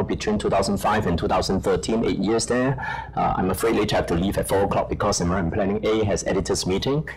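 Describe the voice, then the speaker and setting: A middle-aged man whose first language is Chinese talks on a conference panel in a slightly low voice. He is brisk and self-deprecating, full of "uh" and "you know", with audience murmur around him in the hall. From China. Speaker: conference panelist, journal editor.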